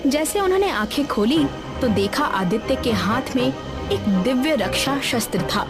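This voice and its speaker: A woman's voice: high voice, expressive, normal speed. A woman reading a scripted children's story in a narrator's role. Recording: music behind the voice. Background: background music.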